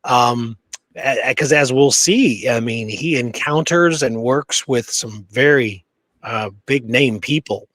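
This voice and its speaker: A man's voice: medium pitch, slow, fairly bright tone, dry recording. A man co-hosting a podcast in casual conversation, talking in an enthusiastic, rambling way. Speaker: podcast co-host. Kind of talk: casual chat.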